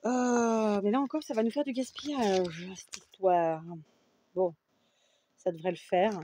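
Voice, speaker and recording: A woman's voice: mid-range voice, measured pace, mellow, a little hiss or hum. A French woman hosting a casual craft tutorial, chatting and often checking in with her viewers with "d'accord?". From France. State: runny nose. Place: home craft table with a fan running.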